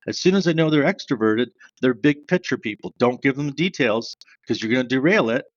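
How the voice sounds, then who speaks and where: A man from Canada answers interview questions as a sales trainer, working through examples one by one. His voice sits at a middle pitch. It is recorded close and dry, with a slightly muffled, lo-fi tone. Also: expressive, dry recording. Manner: explains step by step with examples, self-described loud fast talker. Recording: dry, slightly dull sound.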